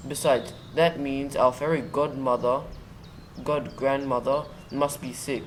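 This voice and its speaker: Helpful young hero, acted by a male performer, middle pitch, school radio play, faint birdsong and noise. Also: steady tone, measured pace, natural voice. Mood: excited. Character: Jack, keen helpful boy hero in storybook.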